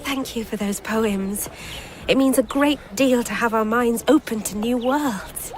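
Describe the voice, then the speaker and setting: An actress as a high-voiced, curious young woman. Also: measured pace, natural voice. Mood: curious wonder. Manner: earnest, wondering. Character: curious, eager young woman, a poetry pupil. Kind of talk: radio drama acting.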